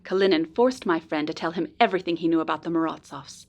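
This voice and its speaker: A woman playing a polite, earnest informant in a noir radio drama, speaking in a measured, precise way. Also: low voice. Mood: earnest, serious. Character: polite, earnest female informant helping a detective.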